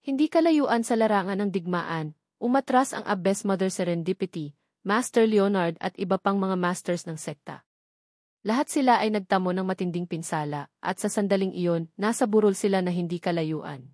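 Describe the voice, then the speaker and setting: A woman reading scripted audiobook narration of an action novel in measured, formal sentences. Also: mid-range voice, darkish tone, dry recording, studio quality.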